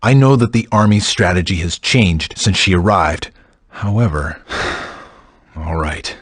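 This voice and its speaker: A man's voice: sits low, measured pace, husky, no echo, studio quality. A man voicing a stern, proud, distrustful commander in a video game, formal and resolute. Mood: stern, doubtful. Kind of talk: dramatic video game voice acting.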